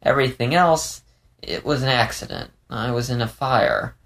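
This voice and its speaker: A man's voice: medium pitch, big pitch swings, moderate pace, gravelly, fairly bright tone, voice pushed up. A man voicing a playful, candid young male fox in an audiobook story, rambling with hesitant stammers. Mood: frank, playful.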